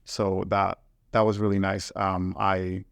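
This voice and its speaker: Earnest Ecuadorian man, lowish voice. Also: level tone, slow pace, gravelly, clear, resonant tone, no room sound, clean studio sound. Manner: earnest, hesitant with repeated words. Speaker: guest, environment director. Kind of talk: casual interview conversation.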